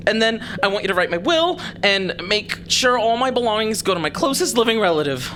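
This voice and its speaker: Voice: mid-range voice, sing-song, a little fast, pushed and bright, slight background noise. An actor playing an anxious spaceship pilot in an audio drama, nervous and rambling, with a sarcastic streak. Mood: anxious, panicky. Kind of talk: scripted audio drama acting.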